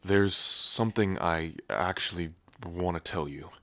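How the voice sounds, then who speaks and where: A man voicing a young dragon heir confessing his love in an audio roleplay, earnest and soft. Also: sits low, measured pace, no room sound. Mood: tender. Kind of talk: ASMR roleplay.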